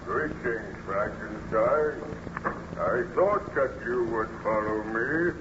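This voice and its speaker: An actor playing a wretched, bitter man-made monster in old-time radio drama, with slow, grave, declamatory speech and formal diction. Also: lowish voice, animated. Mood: menacing greeting. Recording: muffled, noisy old radio recording.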